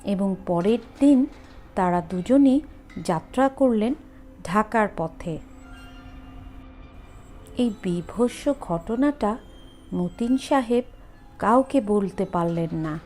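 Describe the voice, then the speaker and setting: Slow, suspenseful woman storyteller. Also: medium pitch, lively intonation, warm tone, soft background music. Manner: suspenseful, drawn-out phrasing with dramatic pauses. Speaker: horror story narrator. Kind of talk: dramatic ghost story narration.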